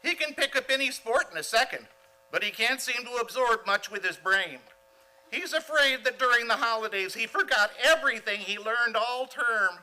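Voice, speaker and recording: Radio comedy role: overdramatic teen schoolboy, very shrill and high, earnest, florid, indignant. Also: male voice, animated, moderate pace, sharp tone, exaggerated voice, low-quality recording. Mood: eager, earnest. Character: eager, indignant, grandiloquent teenage schoolboy. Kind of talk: old-time radio comedy acting.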